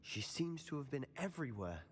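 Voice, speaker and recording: Male actor as a young student wizard, curious, animated delivery. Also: mid-range voice, a little fast, a little hiss or hum, lightly acted.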